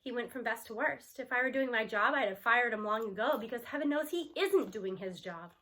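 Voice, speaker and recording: A woman narrating a storybook aloud in an expressive first-person read-aloud style. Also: on the high side, fairly quick.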